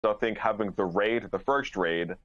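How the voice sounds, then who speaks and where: A man's voice: lowish voice, normal speed, fairly bright tone. A man speaking casually as a panelist in a podcast group discussion, on a lo-fi recording.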